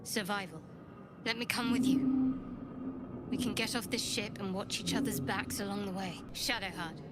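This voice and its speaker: A woman's voice: mid-range voice, moderate pace, close to an everyday voice. An actress playing a wary young female cleric in a video game, guarded, dry and practical. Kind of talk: video game cutscene acting. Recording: loud background noise.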